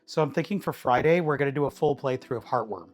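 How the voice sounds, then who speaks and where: A slightly hoarse man chatting casually with viewers while cooking in his home kitchen, rambling in an easygoing way and chuckling often. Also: mid-range voice, fast talker, faint music behind. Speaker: streamer.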